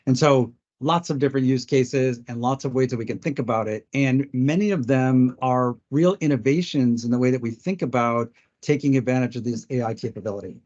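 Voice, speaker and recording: Slightly muffled, band-limited audio carries a man's mid-pitched voice. He presents like a technology expert in a business webinar, explaining step by step in a measured manner with short pauses. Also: darkish tone.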